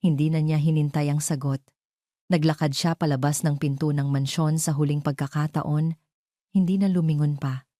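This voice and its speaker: A woman narrating a scripted romance drama as a storyteller, in a measured, solemn manner. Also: low voice, fairly even intonation, warm tone, no echo, studio quality.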